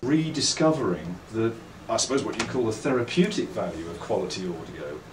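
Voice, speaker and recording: A middle-aged man with an English accent, giving reflective, articulate interview answers in measured phrases and telling anecdotes as a company owner and designer. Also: fairly low pitch, very animated. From England. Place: indoors at a trade show. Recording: roomy, distant mic, faint background noise.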